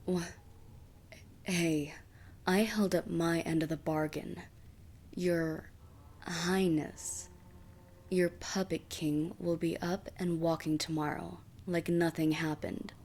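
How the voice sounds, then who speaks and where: Woman playing sly female assassin, low creaky voice, drawling, cocky, medieval fantasy roleplay. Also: steady tone, takes it slowly, darkish tone. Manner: taunting, drawling, smug until pleading. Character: sly, cocky female assassin for hire, taunting and self-serving.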